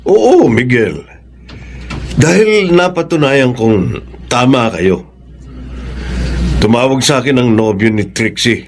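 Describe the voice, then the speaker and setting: An actor playing a regretful older brother in a Filipino radio drama, speaking earnestly. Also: male voice, medium pitch, lively intonation, takes it slowly, long pauses, a little hiss or hum. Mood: apologetic. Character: remorseful older brother and uncle working abroad, duped in love.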